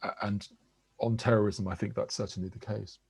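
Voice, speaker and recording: A man in his sixties who grew up in England, an interviewed professor giving articulate, measured answers with occasional restarts. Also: lowish voice, flat delivery, gravelly, a little mellow, husky, no room sound.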